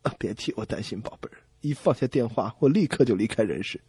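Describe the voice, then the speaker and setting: A man's voice: mid-range voice, animated, fast talker, mellow, slightly rough, no room sound, pitched below the performer's own voice. A man voicing a doting mother who keeps reassuring her child, in a comedy radio joke. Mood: soothing, resigned. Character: doting, reassuring mother on the phone, secretly despairing.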